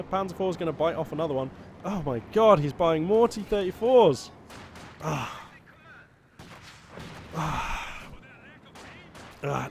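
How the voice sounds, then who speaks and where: Caster: British man, fairly high voice, excitable and rambling, with loud game gunfire and artillery under him. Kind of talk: live strategy game commentary. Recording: loud game battle sounds behind. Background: gunfire, artillery and vehicle noise from the game.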